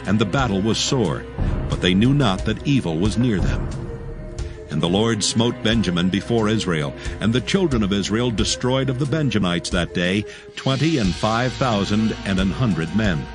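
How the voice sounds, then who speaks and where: A man narrating scripture from a script in a solemn, measured way. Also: fairly low pitch. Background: music.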